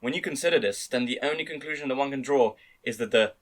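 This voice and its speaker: A man's voice: mid-range voice, fast, poor audio. A man narrating a first-person story as an amateur dramatic reading. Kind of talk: dramatic reading of fan-read light novel narration.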